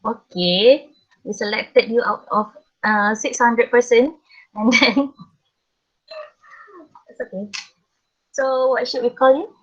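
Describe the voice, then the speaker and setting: A Malaysian woman lecturer calling the roll in an online class, brisk and quick to laugh. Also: a little high, a bit bright. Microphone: video call. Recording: muffled lo-fi call audio.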